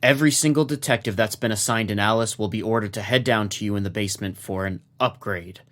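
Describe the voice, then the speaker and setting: An actor playing a gruff, commanding police captain, curt and sardonic, in a noir audio drama. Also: male voice, fairly low pitch, fast, fairly bright tone, natural voice. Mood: stern, commanding. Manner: curt, authoritative.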